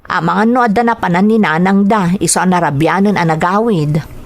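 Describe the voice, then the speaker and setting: A woman's voice: lowish voice, fairly quick. A woman playing a caring, worried village mother and wife in an Ilocano radio drama, speaking expressively and with sympathy. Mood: concerned. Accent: Filipino, Ilocano. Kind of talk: radio drama acting with narration.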